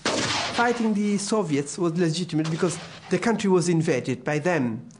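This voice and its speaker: Male advisor, high voice, hesitant and careful, trails off. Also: normal speed, a little hiss or hum. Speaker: government advisor. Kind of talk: interview answers.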